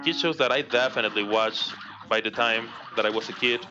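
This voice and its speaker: A man's voice: mid-range voice, brisk. Mexican man calling a speedrun. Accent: Mexican Spanish. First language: Spanish. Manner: relaxed, chatty, laughs often, reads chat aloud. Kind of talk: live speedrun commentary. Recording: dull lo-fi stream audio with game music. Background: game music.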